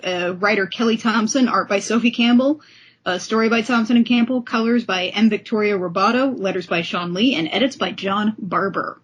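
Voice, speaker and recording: A woman's voice: medium pitch, husky. A woman hosting a casual discussion show, talking in an enthusiastic, effusive way. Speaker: podcast host.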